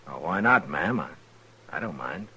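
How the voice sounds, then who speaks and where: An actor playing a calm, determined U.S. Marshal in a radio western, speaking in an unhurried, understated, firm manner. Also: male voice, deep voice, slight background noise, full character voice. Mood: reassuring. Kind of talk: 1950s radio western acting.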